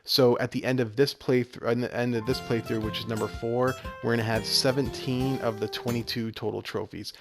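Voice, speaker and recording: Man with creaky voice narrating a game walkthrough. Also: medium pitch, flat delivery, fairly quick, few pauses. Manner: explanatory, step-by-step instruction. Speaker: video game walkthrough host. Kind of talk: walkthrough commentary. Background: game music.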